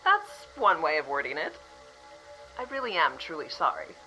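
A woman playing a calm official from the future. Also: low voice, moderate pace, soft background music, exaggerated voice, rough recording. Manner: composed, apologetic, matter-of-fact. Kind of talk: audio drama acting.

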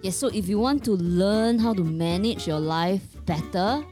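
A woman with a Singaporean or Malaysian accent co-hosts a podcast. She chats casually in a medium-pitched voice, with faint music behind her. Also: measured pace, dark tone. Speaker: podcast co-host. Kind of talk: casual chat.

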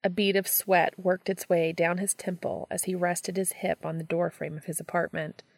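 A woman's voice: lowish voice, vocal fry, no room sound, studio quality. A woman reading a story aloud as scripted audiobook narration. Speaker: audiobook reader of fan fiction.

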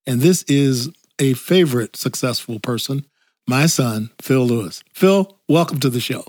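A man's voice: medium pitch, lively intonation, measured pace, no echo. Older guy hosting a podcast, gushing, chuckly, dry close mic. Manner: warm and enthusiastic, heavy on praise. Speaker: podcast host. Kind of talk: casual interview chat.

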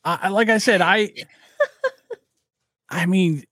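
A man co-hosting a podcast, trading loud, crude jokes in casual banter. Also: high voice, slow pace, dry recording, clean studio sound. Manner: loud joking, crude wisecracks. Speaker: podcast co-host.

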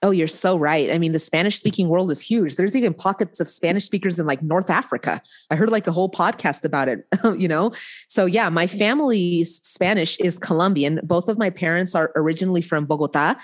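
A woman's voice: on the low side, brisk. A woman, a court interpreter from the United States, talking casually in an interview with frequent laughs. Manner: chatty, laughing, warm asides.

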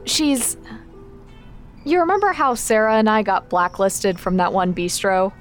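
A woman's voice: medium pitch, big pitch swings, unhurried, soft background music. An actress playing a confident, supportive young woman in an audio drama, talking in a casual, frank, dry way. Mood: wry, slightly embarrassed. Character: confident, wry, supportive young woman training her friend. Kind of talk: naturalistic audio drama acting.

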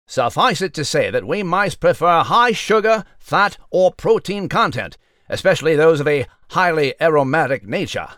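An actor playing a pompous, scholarly little mouse delivering a formal, wordy mock news report in a children's audio drama. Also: male voice, fairly high pitch, sing-song, moderate pace, fairly bright tone, dry recording, studio quality. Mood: pompous, earnest.

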